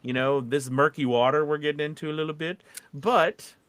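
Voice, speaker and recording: A man's voice: on the high side, fairly even intonation, fairly quick, a bit bright. A man hosting a casual podcast discussion, talking in a rambling, conversational way and posing questions. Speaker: podcast host and voice-over coach.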